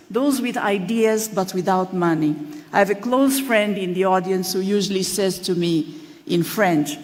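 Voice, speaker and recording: A woman delivers a formal acceptance speech in a measured, deliberate way. She slows to stress key phrases, and her voice sits at a mid pitch. Her voice rings with the long reverb of a large hall. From Tanzania. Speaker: award recipient. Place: large hall at an awards ceremony.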